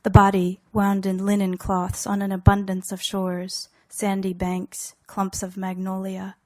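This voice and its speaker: Woman poet reading her stuff slow and deliberate, really flat pitch, dry sound, lots of weighted pauses. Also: no room sound. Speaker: poet reading own work. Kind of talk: poetry reading, measured recitation.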